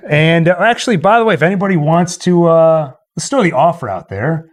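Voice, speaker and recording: A man hosting a casual livestream in a playful, joking tone. His voice sits a little high and moves animatedly as he chats and recaps. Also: animated, a little fast. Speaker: livestream host. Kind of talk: casual chat and story recapping.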